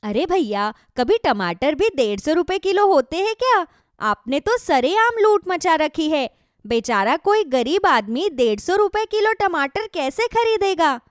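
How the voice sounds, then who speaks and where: A woman voicing an outspoken, thrifty village wife in a children's story, speaking with animated emphasis. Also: extremely high pitch, measured pace, no room sound, clean studio sound, voice pushed up, heavily acted. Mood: indignant.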